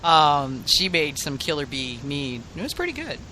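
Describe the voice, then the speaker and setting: A man with a bright, forward voice pitched a little high. He co-hosts a podcast and banters casually, laughing easily. A faint rumble runs under the recording. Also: very animated, moderate pace, poor audio. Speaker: podcast co-host.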